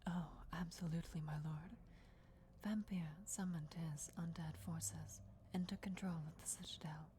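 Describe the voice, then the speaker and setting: Woman as female vampire emissary for ASMR roleplay; low-leaning, mellow, breathy whisper, formal and reverent. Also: steady tone, a little hiss or hum, natural voice. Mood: hushed, deferential. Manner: hushed, formal, measured, reverent. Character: deferential female vampire emissary briefing her lord before war.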